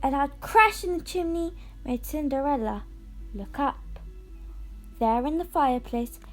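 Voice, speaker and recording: A woman's voice: high voice, animated, measured pace, soft background music. A very young female reader giving a scripted fairy-tale narration from a storybook. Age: child or very young.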